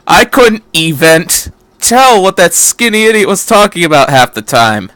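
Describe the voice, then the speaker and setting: A man acts out a brash, scornful teenage boy from a story. The voice is raised in pitch and swings about as he grumbles in slang. The sound is dry and close. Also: animated, normal speed, fairly bright tone, no echo. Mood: indignant.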